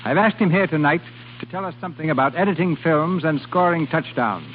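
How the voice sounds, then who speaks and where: A man's voice: a little high, lively intonation, brisk. A man announcing a scripted vintage radio program in a 1940s broadcast style. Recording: hissy, tinny old radio broadcast.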